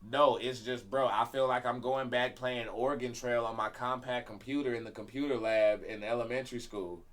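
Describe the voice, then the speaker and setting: Bright-voiced man chatting casually. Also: medium pitch, fairly even intonation, normal speed. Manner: slangy, profane. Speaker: live stream podcast co-host. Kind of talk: casual chat with friends about video games.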